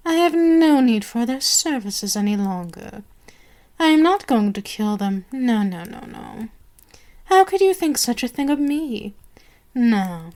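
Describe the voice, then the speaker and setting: Casting: woman to play a haughty vampire queen for ASMR roleplay, higher-pitched, slow, imperious and condescending. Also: a little mellow. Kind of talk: ASMR roleplay monologue to the listener.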